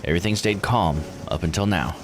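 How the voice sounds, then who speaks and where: A man voicing an earnest, grieving villager from a visual novel, in a measured delivery. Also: deep voice, close to an everyday voice. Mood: solemn, grateful. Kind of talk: reading visual novel dialogue aloud. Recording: music under the voice.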